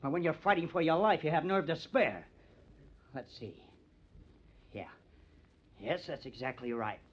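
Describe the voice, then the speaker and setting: A man's voice: a little high, sing-song, measured pace. An actor playing a cunning, bitter artist who frames his rival, with theatrical, taunting intensity, in old-time radio drama style. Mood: tense, daring. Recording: dull, lo-fi old radio recording.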